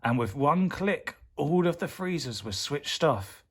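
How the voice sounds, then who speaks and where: A man narrating a children's storybook as a storyteller, in a dramatic, theatrical and expressive style. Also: fairly high pitch, measured pace, breathy edge.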